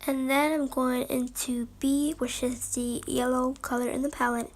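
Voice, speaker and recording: A young woman with a slightly hoarse voice, chatting her way through a makeup tutorial at home in front of a close camera. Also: sits high, little pitch movement. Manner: chatty and upbeat, quick asides. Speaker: beauty vlogger. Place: small room at home, indoors.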